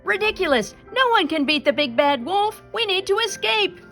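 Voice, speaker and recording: Woman voicing a high, fretful storybook hen. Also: very animated, normal speed, fairly bright tone, faint music behind, full character voice. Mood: alarmed. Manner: urgent exclamations. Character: alarmist flock of chickens, fretful and dramatic.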